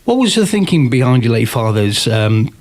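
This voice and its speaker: A man hosting a business radio interview, reading a formal, flattering introduction and then asking questions with some halting ums and uhs. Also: mid-range voice.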